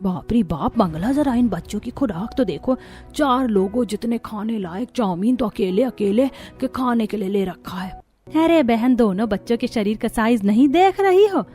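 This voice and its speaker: A woman's voice: a little high, animated, normal speed, mellow, soft background music, close to an everyday voice. A woman voicing a catty, gossiping female wedding guest in a cartoon story, scandalised and mocking. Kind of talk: Hindi cartoon story dialogue.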